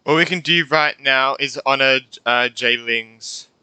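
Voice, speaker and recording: A man's voice: mid-range voice, measured pace, bright, forceful tone, smooth, no room sound. A man reacting casually and offhandedly as he plays through a video game. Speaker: gamer making a playthrough.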